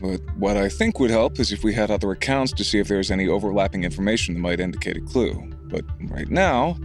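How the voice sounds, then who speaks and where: A low, gravelly casual investigator. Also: male voice, lively intonation, quick pace, soft background music. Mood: puzzled. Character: hospitable, curious male investigator puzzling over clues. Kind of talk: audio drama acting.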